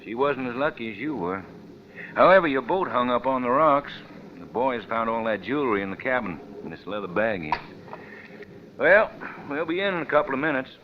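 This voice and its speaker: Man playing a brisk Coast Guard lieutenant, muffled recording. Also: medium pitch, big pitch swings, close to an everyday voice. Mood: matter-of-fact. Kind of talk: 1940s radio drama acting. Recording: dull, noisy vintage radio recording.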